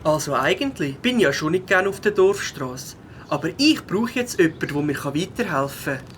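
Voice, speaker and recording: A man's voice: a little high, very animated, moderate pace, a little hiss or hum. Young beaver voiced by a man for a kids' audio story: Swiss German, good-natured, childlike, swooping intonation, birdsong behind. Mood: resigned.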